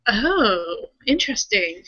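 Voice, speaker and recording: A woman's voice: mid-range voice, very animated, slow, bright, forceful tone, dry recording, lo-fi sound. A woman playing a tabletop roleplaying game, speaking casually as her character and narrating the character's actions.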